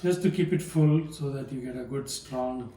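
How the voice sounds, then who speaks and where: Brief: older man from South India, mid-pitched, soft and halting, in an echoey kitchen away from the mic with faint hum. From Palakkad, South India. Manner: slow, soft-spoken, hesitant with fillers. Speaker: guest, home cook. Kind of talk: casual demonstration chat. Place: home kitchen. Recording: echoey room from a distance, faint noise.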